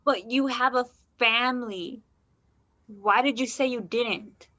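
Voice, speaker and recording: A woman's voice: a little high, slow, pushed and bright, full character voice. An actress playing a hurt, uncertain woman in a stage play, subdued and hesitant, in muffled audio. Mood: questioning.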